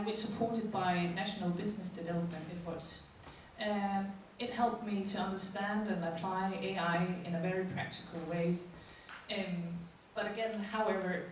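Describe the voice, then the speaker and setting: A woman from Denmark giving plain, measured remarks on a panel. The voice is distant and reverberant, with a light hum of ambience. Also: mid-range voice. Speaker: entrepreneur guest. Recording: echoey hall, voice from a distance.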